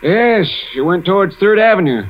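A man's voice: high-pitched, lively intonation, normal speed. An actor playing a polite, talkative young elevator boy in a 1940s radio drama. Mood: helpful. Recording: dull 1940s radio recording.

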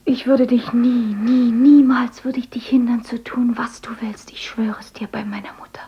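A shy young foster daughter, timid and often whispering. Her higher voice is very breathy and airy. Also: steady tone, normal speed, warm tone, dull audio. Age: young adult. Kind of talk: radio play acting.

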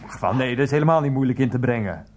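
A man's voice: medium pitch, expressive, rapid-fire, background noise, close to an everyday voice. An actor playing a flustered, placating, henpecked husband and mother's boy on the telephone in a radio comedy drama. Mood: placating, embarrassed.

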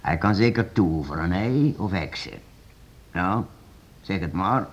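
An actor playing a self-assured, sceptical gentleman in a period radio play, brisk and dismissive. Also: sits low, animated, slightly rough, full character voice. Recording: dull old radio recording.

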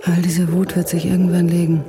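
A woman's voice: on the low side, flat delivery, fairly quick, over music, natural voice. An actress playing a fierce, yearning woman telling her story in a radio drama. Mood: intense.

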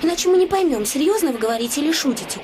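A young innkeeper's daughter in a fairy-tale drama, all anxious, earnest pleading. Her words come fast in a somewhat high voice. Loud background noise surrounds her. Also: lively intonation. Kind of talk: satirical fairy-tale radio play acting.

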